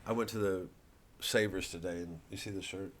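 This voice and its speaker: A middle-aged man with a fairly low voice rambles through a casual monologue. He is sardonic and keeps drifting off topic, then bursts into loud, mocking asides. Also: steady tone, a little fast. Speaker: comedian promoting his work.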